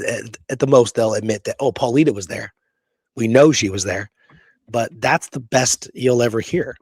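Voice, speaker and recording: A man's voice: mid-range voice, darkish tone, no room sound. A man hosting a casual history talk from notes, speaking in an emphatic, conversational way and often checking in with the listener. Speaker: podcast host.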